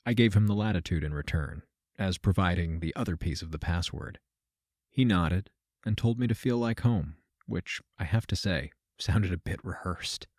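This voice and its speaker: A man's voice: sits low, moderate pace, no room sound. An actor playing a wry, matter-of-fact man who narrates an audio drama in dry, formally worded first person. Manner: dry, deadpan, wordy formal phrasing. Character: wry, matter-of-fact man recounting his trip between simulations. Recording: music under the voice.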